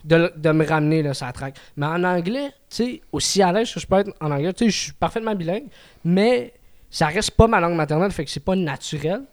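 Hesitant Quebec French man, slightly high voice. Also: expressive, a little mellow. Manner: hesitant, fills every pause with "tu sais". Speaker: podcast host. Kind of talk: casual chat.

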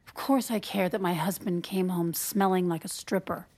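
A woman's voice: on the low side, steady tone, normal speed, a little mellow, natural voice. An actress playing a hurt, guarded wife and mother in a naturalistic audio drama. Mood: wounded, bitter.

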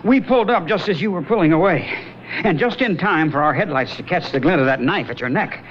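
A relaxed, wisecracking young man voiced by a man, his mid-pitched voice bouncing lively through his jokes. He sounds unbothered and wry. The old broadcast is dull and lo-fi. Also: brisk, slight background noise, close to an everyday voice. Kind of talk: 1970s radio drama acting.

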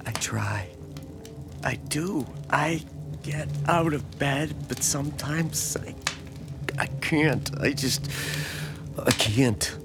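An actor playing a lonely, timid small-town man in an audio drama, speaking haltingly with stammers and self-deprecation. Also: fairly high pitch. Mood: anguished. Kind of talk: naturalistic audio drama acting. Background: music behind the voice.

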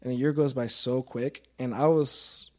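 A man's voice: mid-range voice, steady tone, moderate pace. Earnest young man in casual conversation. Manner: earnest, informal, swears freely. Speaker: podcast guest.

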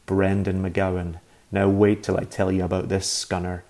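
A man's voice: low-pitched, monotone, normal speed, warm tone, natural voice. A man voicing a bitter, drunken mother who hisses insults down a phone line. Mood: scornful. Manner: hissing, venomous.

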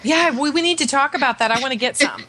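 A woman's voice: sits high, fast talker, noisy background. A woman in her forties chatting on a radio show, agreeing often and laughing along. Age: 40s. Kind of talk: casual chat.

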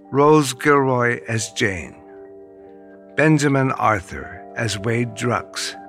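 A man reading scripted credits as an announcer, in a measured, neutral manner. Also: medium pitch, bright, forceful tone.

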